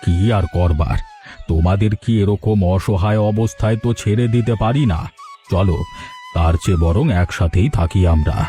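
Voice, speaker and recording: Man playing a deep-voiced kindly holy hermit. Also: moderate pace, warm tone, lightly acted. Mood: reassuring, resolute. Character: kindly, self-assured holy hermit who shelters the helpless. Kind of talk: Bengali cartoon voice acting. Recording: music playing under the voice.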